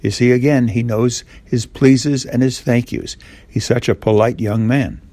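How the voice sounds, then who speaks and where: A man's voice: mid-range voice, fairly even intonation, unhurried, warm tone, no room sound. An older man tells a simple bedtime story informally, as if speaking to a young child. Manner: simple, repetitive, asks the child listener questions.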